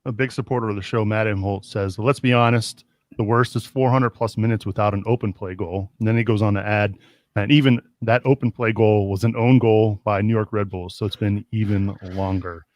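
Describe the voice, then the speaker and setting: A man with a Midwestern American accent hosting a casual sports talk show, ranting in a heated, animated way. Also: fairly low pitch, fairly quick, no echo. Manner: animated, indignant rants. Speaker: podcast host.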